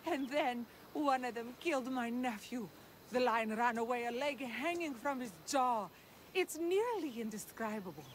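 An actress playing a solemn, grieving older village leader in ancient Greece, in a video game. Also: female voice, on the high side, lively intonation, a little slow, a little hiss or hum. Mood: distressed.

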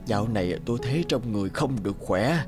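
A man's voice: lowish voice, lively intonation, quick pace, natural voice. A man voicing a kindly, weary old fisherman in a cartoon fairy tale. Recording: music under the voice.

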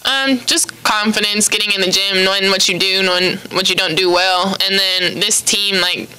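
A young woman, a college basketball player, giving brief, soft-spoken answers at a press conference into a table microphone. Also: medium pitch, steady tone, moderate pace, slight background noise, rough recording. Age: young adult. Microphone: table microphone on a stand.

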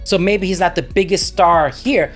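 A middle-aged man co-hosting a podcast, talking in an animated, rambling way into a studio mic in a home room. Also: sits high, moderate pace, faint music behind. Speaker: podcast co-host. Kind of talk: casual chat. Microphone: large studio mic.

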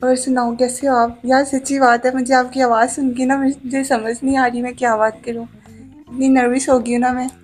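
Man putting on a coy, even-toned lovestruck girl. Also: very high voice, normal speed, soft background music. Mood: coy, nervous. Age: young adult. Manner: coy, pleading. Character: lovestruck, nervous young female student, sweetly pleading. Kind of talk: prank phone call in character. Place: small indoor room.

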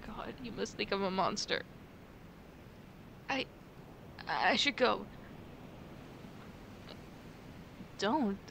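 A woman's voice: vocal fry, exaggerated voice, rough recording. A woman playing a distraught, ashamed werewolf girlfriend in an ASMR roleplay, stammering and apologetic, with sighs breaking up her words. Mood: panicked, remorseful. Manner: halting, stammering, sighing. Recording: steady rumbling background noise.

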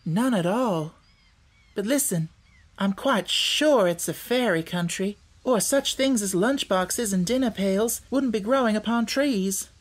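A man's voice: sits high, unhurried, pitched above the performer's own voice. A man voicing a cheerful, confident little girl with an earnest, matter-of-fact manner in a storybook. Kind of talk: audiobook character voice.

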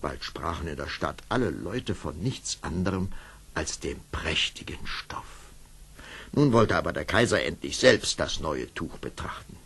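A man's voice: very deep voice, animated, a little slow, vocal fry, fairly bright tone, husky, exaggerated voice. A man narrating a fairy tale as a scripted storyteller for an audio play. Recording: old cassette, faint noise.

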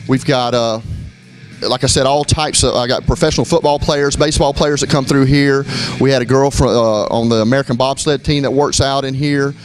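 A man's voice: mid-range voice, fairly quick, faint music behind. Middle-aged man giving a gym tour. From Florida. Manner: relaxed, with frequent fillers and restarts. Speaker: gym owner. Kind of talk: walkthrough tour, casual narration. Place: gym indoors, moving through rooms.

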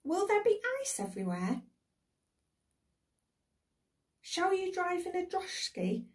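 A woman's voice: extremely high pitch, sing-song, normal speed, distant mic, voice pushed up. A woman voicing an eager, curious, well-bred little girl in a storybook reading. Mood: excited curiosity. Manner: eager, questioning.

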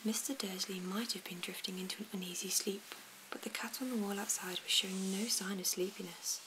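A woman reads a storybook in a soft, slow whisper. Her delivery stays even and hushed. Noticeable hiss and small mouth clicks run through the recording. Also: mid-range voice. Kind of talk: whispered ASMR storybook reading.

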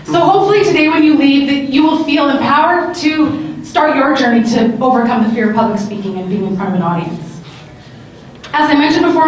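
Upbeat woman moderator, high pitch, handheld mic in a booming convention hall. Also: a little hiss or hum. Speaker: panel moderator. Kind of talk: hosting a live panel. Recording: echoey hall, camera from far off.

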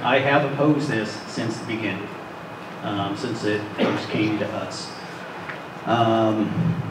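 A man speaks at a public hearing as a local councilman, at a medium pitch. He is deliberate and halting. The microphone is far away in an echoey room with clear background noise. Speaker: city councilman giving public testimony. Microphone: distant camera microphone.

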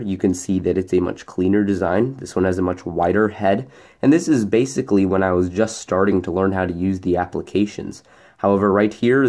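A young man with a somewhat low voice talks through a hobby build in a relaxed, explanatory way. He compares pieces point by point as if they sit on the table in front of him, in an easy show-and-tell manner. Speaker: hobbyist YouTuber. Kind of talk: casual show-and-tell narration.

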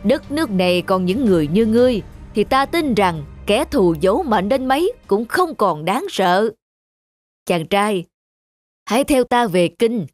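A man playing an imposing middle-aged general in an animated folk tale, speaking in a formal, commanding, hearty manner. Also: sits high, dry recording, studio quality.

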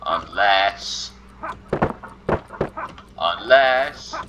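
Low-voiced man muttering through a game. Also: lively intonation, extremely slow, heavy background noise. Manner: exasperated muttering to himself, talks to objects. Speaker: gameplay commentator. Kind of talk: casual gameplay commentary.

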